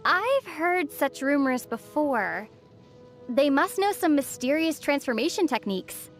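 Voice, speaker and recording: A woman playing a young female adventurer in a video game, gentle and playfully wry in manner. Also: high voice, big pitch swings, a little slow. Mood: teasing. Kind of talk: game voice acting. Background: faint background music.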